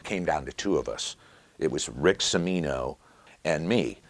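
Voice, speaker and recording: A man's voice: a bass voice, expressive, a little slow. An American man in his sixties, a professional announcer, talking reflectively about his career as in an interview. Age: 60s. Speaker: stadium announcer and voiceover artist.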